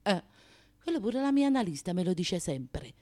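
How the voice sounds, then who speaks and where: A woman's voice: on the low side, big pitch swings, normal speed, a little mellow. An actress playing a flamboyant, passionate, self-mocking Neapolitan woman in a radio monologue, with a confiding, emphatic and colloquial manner and a Neapolitan accent. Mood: wry, exasperated. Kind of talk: radio drama comic monologue.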